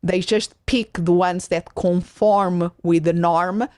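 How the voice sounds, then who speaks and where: A woman from Portugal with a Portuguese accent, hosting a conversational interview in an enthusiastic, halting way and often rephrasing herself. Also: fairly low pitch, steady tone, darkish tone, no echo, studio quality. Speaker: podcast host.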